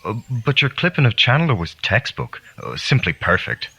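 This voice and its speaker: A man as a low-voiced sneering cult henchman. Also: huge pitch swings, normal speed, vocal fry, bright, forceful tone, slightly rough, highs cut off, full character voice. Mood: fawning. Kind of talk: audio drama acting.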